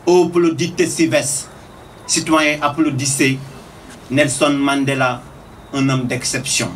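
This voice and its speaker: A man reciting a poem of tribute in a solemn, oratorical manner with measured phrasing. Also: medium pitch, little pitch movement. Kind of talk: declaimed poetry recital. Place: live recital at a public tribute event. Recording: distant microphone with faint noise.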